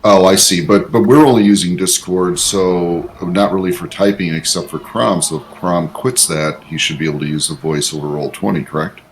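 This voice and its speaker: A man with a fairly low voice talks casually with his gaming group over an online voice call. There is faint noise in the background. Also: steady tone, moderate pace, few pauses. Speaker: tabletop game player. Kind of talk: casual chat.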